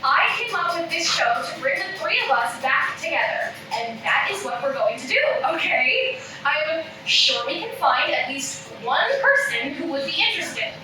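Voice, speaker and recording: Woman acting very high-pitched, prim, earnest podcaster. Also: sing-song, moderate pace, over music. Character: Claire, earnest, prim, self-deprecating failed-actor podcast host. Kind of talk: live table read of a comedy script. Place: indoor room at a table read. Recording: roomy echo, distant mic, background noise.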